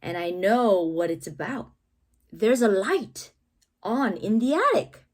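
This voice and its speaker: A woman's voice: medium pitch, big pitch swings, slow pace. A woman reads poems aloud for children in a mid-range voice. Her intonation swings widely and she takes her time over each phrase. She stresses words playfully and theatrically, like a teacher sharing a picture book. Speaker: read-aloud host, teacher-like reader. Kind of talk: expressive children's poetry read-aloud with asides.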